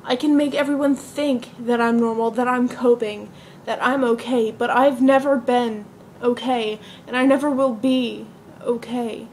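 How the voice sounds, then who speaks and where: Woman acting a despairing, confessional young woman, fairly high. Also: steady tone, a little slow, hardly any pauses, a little mellow, lightly acted. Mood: resigned, anguished. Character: depressed, despairing young woman confiding bleakly. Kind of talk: amateur dramatic stage monologue. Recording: noisy iPod recording.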